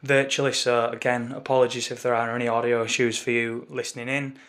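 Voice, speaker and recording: A man with a croaky voice co-hosting a casual football podcast over a remote call, chatty and wry. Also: mid-range voice, little pitch movement, brisk, a bit bright. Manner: chatty, wry, leads the talk. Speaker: podcast co-host. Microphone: remote call recording.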